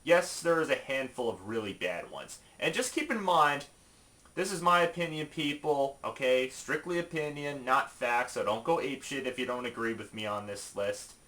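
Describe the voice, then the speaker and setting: A man presenting a top five list in casual, opinionated video commentary, informal and emphatic with halting asides. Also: mid-range voice, animated, pushed and bright.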